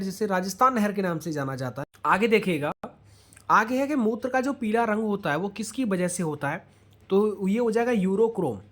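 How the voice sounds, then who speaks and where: Female exam coach, mid-range voice, question-and-answer revision delivery, some English mixed in. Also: mid-range voice. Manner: asks questions then answers them, addressing students directly; mixes English words into speech. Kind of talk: rapid-fire quiz revision lesson.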